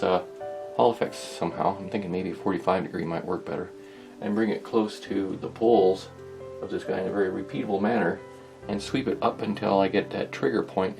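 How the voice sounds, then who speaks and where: Man, low gravelly voice, hobbyist workshop narration, rambling and musing, faint music. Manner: hesitant, rambling, musing to himself. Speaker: host of a hobby electronics restoration video. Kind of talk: informal workshop narration, thinking aloud.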